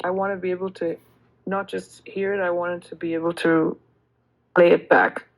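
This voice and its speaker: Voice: mid-range voice, flat delivery, moderate pace, creaky, low-quality recording. A speaker talking casually and conversationally.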